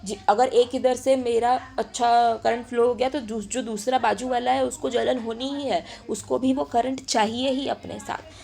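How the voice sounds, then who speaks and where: A woman's voice: on the high side, fairly even intonation, moderate pace, vocal fry, few pauses, a little mellow. A woman with an Indian accent giving an informal step-by-step lecture, switching constantly between Hindi and English and repeating her points. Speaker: physiotherapy teacher.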